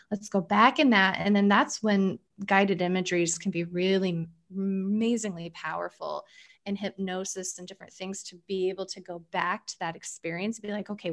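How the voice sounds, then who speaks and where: A woman therapist guest in an interview, explaining coping methods in a lively, animated way. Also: medium pitch. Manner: animated, explanatory, acts out quoted thoughts. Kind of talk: interview conversation.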